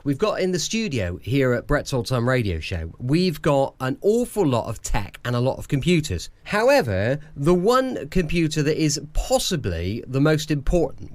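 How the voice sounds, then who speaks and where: A man's voice: on the high side, dry recording. A man hosting an old-time radio show in a chatty, rambling style from a home studio. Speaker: host.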